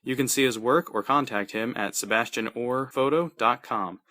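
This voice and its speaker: A man's voice: medium pitch, moderate pace, sharp tone. A man reading a scripted sponsor announcement.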